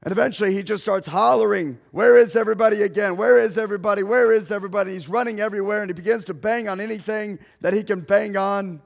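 A male pastor, telling a story in a sermon slowly and deliberately, raising his voice at dramatic moments. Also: high-pitched, gravelly. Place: church sanctuary. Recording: long hall reverb.

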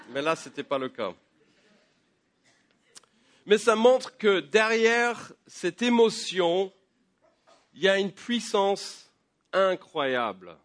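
A man preaching a sermon while he has a head cold. He talks conversationally, with many fillers and repeated words, and his voice climbs higher and louder as he builds to a point. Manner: rises in pitch and volume when building to a point. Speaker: pastor. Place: church.

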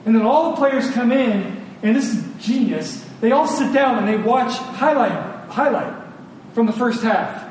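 High-voiced man preaching in echoey room. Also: unhurried. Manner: earnest, informal, emphatic repetition. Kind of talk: conversational sermon. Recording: very echoey room, distant mic, clear noise.

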